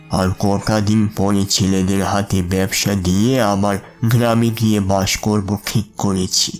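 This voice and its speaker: An actor playing a troubled, humble middle-aged businessman, speaking slowly and deferentially in an audio drama. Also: on the low side. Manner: slow, deferential, halting delivery. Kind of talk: dramatised audio story acting. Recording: music under the voice.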